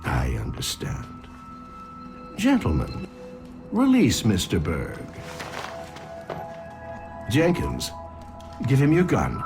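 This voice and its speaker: An actor playing a middle-aged, manipulative corporate villain in a game, condescending and calmly in command. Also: male voice, lowish voice, slow pace, pauses often, music in the background, exaggerated voice. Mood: smooth, manipulative, then commanding, impatient. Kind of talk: dramatic video game acting.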